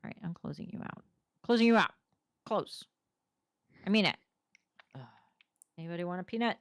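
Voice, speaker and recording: Low, gravelly woman getting hoarse. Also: brisk, no room sound, studio quality. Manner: rambling, talkative, self-deprecating. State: voice going hoarse after hours of talking. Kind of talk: casual chat while playing a game.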